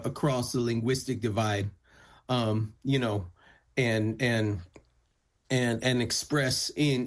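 A male musician whose first language is Spanish, answering interview questions thoughtfully, often restarting his phrases. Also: medium pitch. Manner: thoughtful, frequent restarts and fillers. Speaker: musician guest.

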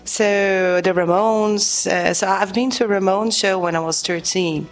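A woman's voice: medium pitch, steady tone, a little slow, soft background music. Chatty Brazilian-born woman musician. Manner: chatty, full of fillers. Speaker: guest musician. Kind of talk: casual interview chat.

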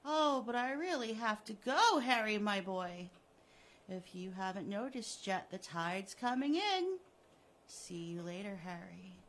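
Woman putting on a creepy gloating killer guy, slow mocking drawl, gravelly. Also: medium pitch, expressive. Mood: taunting. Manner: mocking, softly menacing, drawn out. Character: gloating sadistic male killer, taunting.

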